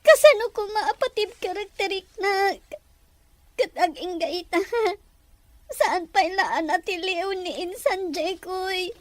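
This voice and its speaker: Sobbing, pleading young Ilocano woman for radio melodrama, played by an actress, very high and slow. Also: dry recording. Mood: despairing. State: crying, sobbing. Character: tearful young woman pleading for belief after abuse.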